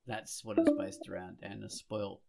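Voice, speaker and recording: A man's voice: lowish voice, little pitch movement, moderate pace, gravelly, crowd noise. A man co-hosting a podcast in casual, conversational discussion. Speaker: podcast co-host.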